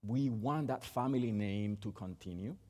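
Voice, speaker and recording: A man's voice: on the low side, warm tone. Puerto Rican man preaching in a hall. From Puerto Rico. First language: Spanish. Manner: halting, with many restarts and fillers. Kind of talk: sermon.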